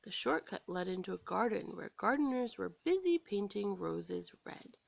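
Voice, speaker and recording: An American woman storyteller reading a scripted children's story aloud in an expressive, dramatic way. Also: mid-range voice, moderate pace, creaky, no room sound.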